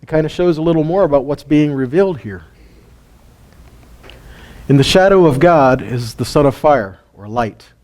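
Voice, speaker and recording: A man's voice: a little high, animated, normal speed, long pauses, darkish tone. A middle-aged man teaches scripture in a folksy way, asking his listeners questions and chuckling often. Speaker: Bible teacher. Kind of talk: casual Bible teaching.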